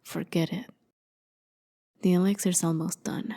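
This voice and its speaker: A husky, breathy immortal warrior woman, acted by a female performer, speaks low and unhurried in an intimate ASMR roleplay. She is haughty and world-weary, telling her story with sardonic ease and often sinking toward a whisper. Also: steady tone. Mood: commanding, impatient. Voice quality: soft, breathy, often dropping to a near whisper. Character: immortal warrior demigoddess, haughty, jaded, wry captor. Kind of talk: ASMR roleplay, dramatic monologue.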